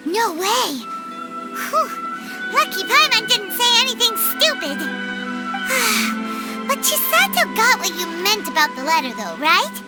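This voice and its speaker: A tiny, naive fairy companion in a video game, with an extremely high, squeaky, childlike voice. She is excitable and exaggerated, her pitch swooping wildly over clearly audible music. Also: takes it slowly, exaggerated voice. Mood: anxious, relieved. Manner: squeaky, exaggerated, refers to herself in third person. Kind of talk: scripted video game character dialogue.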